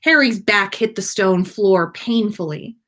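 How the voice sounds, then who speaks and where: A woman reading a story aloud in an animated narrating style. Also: medium pitch, measured pace. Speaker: podcast host reading fan fiction aloud.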